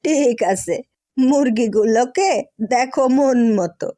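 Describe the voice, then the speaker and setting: A folksy old village granny from a cartoon, acted by a woman, pitched fairly high. She speaks colloquial rural Bengali with a Bangladeshi accent, gently scolding. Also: takes it slowly, no echo, studio quality. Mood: friendly. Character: folksy elderly grandmother who keeps a chicken farm. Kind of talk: cartoon dialogue.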